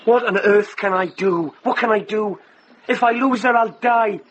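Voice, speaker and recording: A man's voice: sits high, normal speed, a bit bright, slight background noise. An actor playing a lovesick, impulsive young Welshman in a radio drama, impassioned and pleading. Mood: lovesick despair. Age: young adult.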